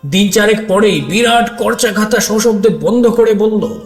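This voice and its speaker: A man's voice: sits high, unhurried. A man narrates a story dramatically in the first person, speaking expressively and straight to his listeners. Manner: expressive, addresses listeners directly. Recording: roomy echo with music underneath.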